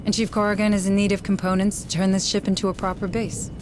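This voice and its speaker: A woman's voice: medium pitch, little pitch movement, fast, noisy background, natural voice. A woman playing a composed, determined colony administrator in a video game cutscene. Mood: businesslike.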